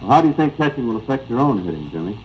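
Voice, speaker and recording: Male American interviewer, middle-pitched, bantering through a 1930s sports radio segment with faint noise and distortion. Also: sing-song, rapid-fire, dull audio. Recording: 1935 AM radio broadcast, noisy, slightly distorted.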